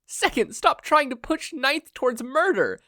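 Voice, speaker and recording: Reader acting woman spirit mentor, high voice, motherly, chiding, swooping intonation. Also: big pitch swings, a little slow, bright, forceful tone, dry recording, studio quality, full character voice. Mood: scolding. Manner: chiding, protective.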